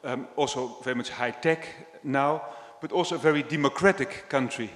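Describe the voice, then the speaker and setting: A man from the Netherlands, chairing a panel in a relaxed, chatty way with frequent ums. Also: medium pitch, normal speed. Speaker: session chair. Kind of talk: conversational panel hosting. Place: large hall on stage. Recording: echoey hall with long reverb.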